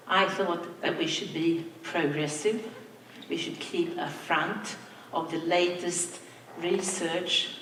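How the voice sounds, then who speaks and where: An older woman from Sweden, a native Swedish speaker, giving a slow, reflective anniversary speech to school staff as their principal. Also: fairly low pitch, slight background noise. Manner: slow, reflective, warm thanks with soft laughs. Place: large hall, on stage. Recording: echoey hall from far off.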